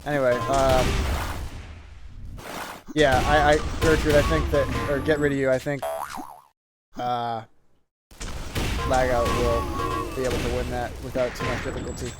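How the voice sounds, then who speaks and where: A man at a middle pitch calls a live online game tournament, chatty and loose, full of "uh"s and false starts. His voice has gone a little hoarse from talking for so long. Game music plays clearly behind him, along with gunfire. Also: level tone, a little slow, pauses often. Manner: casual and rambling, with many fillers and restarts. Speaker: tournament caster. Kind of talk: live esports commentary on a stream. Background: game music and gunfire sounds.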